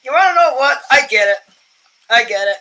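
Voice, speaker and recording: A young man with a higher voice for a man chats casually while sorting his coin collection, amused at his own stories and often clearing his throat. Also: animated, brisk, bright, forceful tone, low-quality recording. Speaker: coin collecting channel host. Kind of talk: casual rambling vlog chat while sorting coins.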